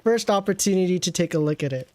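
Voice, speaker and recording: A streamer giving casual, rambling game commentary, teasing and chatting with viewers, in a middle-pitched voice. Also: lively intonation, fast, dark tone, no room sound.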